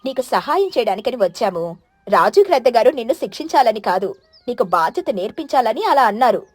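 A woman voicing a kindly female pigeon in a children's story, soft and reassuring. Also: a little high, sing-song, a little fast, no room sound, faint music behind.

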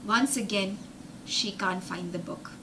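A young woman telling a story as a children's teacher, dramatic and emphatic, pausing to explain words with synonyms. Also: mid-range voice, very noisy. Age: young adult. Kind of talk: animated storytelling lesson with explanations. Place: indoor room with glass-fronted bookshelves.

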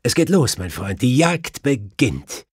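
A man voicing a brisk, commanding detective with a formal, emphatic manner in an audiobook. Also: mid-range voice, sing-song, slightly rough, no room sound, clean studio sound, voice pushed up. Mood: urgent, excited. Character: brisk, commanding male detective, urgent and superior.

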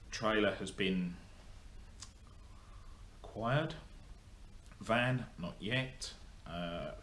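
A young man talks straight to a camera in his workshop, blunt and rambling, with plenty of ums and false starts. His voice sits very low and he takes his time. The room rings with echo over a faint hum. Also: a bit bright. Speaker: motorsport vlogger. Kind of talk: casual update to camera. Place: indoor workshop. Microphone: camera in front of him.

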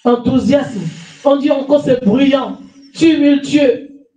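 A woman's voice: high-pitched, slow pace, warm tone. A middle-aged woman pastor with a cold, preaching emphatically from a lectern with a handheld mic, repeating phrases and calling for amens. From France. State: has a cold. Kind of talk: sermon. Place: small church hall with stage and lectern. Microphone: handheld mic, far camera. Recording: echoey room, voice from a distance.

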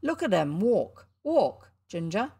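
A woman voicing a cocky young schoolboy showman, loud and indignant as he hawks his show. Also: mid-range voice, sing-song, takes it slowly, vocal fry, breathy edge, exaggerated voice. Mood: boastful.

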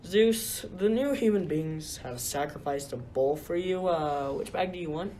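A woman's voice: low voice, a little slow, warm tone. A woman playing an earnest, defiant god who champions mankind, a little hesitant, in an amateur radio play. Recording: faint background noise.

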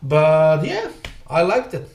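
A man in his thirties, not a native English speaker, giving a casual music reaction with halting speech and many fillers, recorded on a desk webcam at home. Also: a little high, huge pitch swings, darkish tone. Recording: echoey room.

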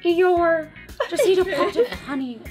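A mild old bear from a cartoon performed by a woman, sounding like an elderly man yet pitched high. He is fond of honey, with music behind. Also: expressive, measured pace. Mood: mild, reassuring.

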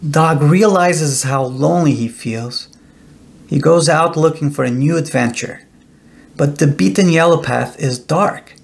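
A middle-aged man narrating a children's bedtime story in a deliberate, expressive storytelling way. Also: fairly high pitch, vocal fry. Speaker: author reading own children's book.

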